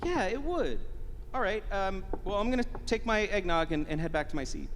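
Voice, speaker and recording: A man acting in a live radio comedy drama as an awkward, halting middle-aged son who stammers as he talks. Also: high voice, expressive, fairly bright tone. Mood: reluctant. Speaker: actor in a live radio play. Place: large hall, live stage show. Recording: live hall reverb, faint background noise.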